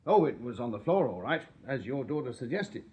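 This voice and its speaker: An actor playing a shrewd Victorian police sergeant in a British radio drama, English-accented, methodical and formally polite. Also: male voice, medium pitch, very animated, fairly quick, darkish tone, natural voice. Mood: confident, methodical.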